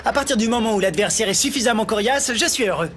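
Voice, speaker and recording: A man's voice: sits high, lively intonation, quick pace, a bit bright, slight background noise. An actor playing a cocky young male fighter who lives for a good fight, in a French anime dub. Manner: cocky, insulting. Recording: music behind.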